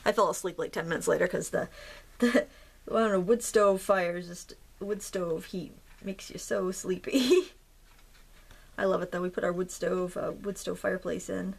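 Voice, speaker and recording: A woman hosting a craft tutorial, chatting in a relaxed, rambling way as she paints. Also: lowish voice, normal speed, gravelly, breathy edge. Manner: relaxed, rambling, with fillers and soft laughs. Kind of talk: casual chatty tutorial narration.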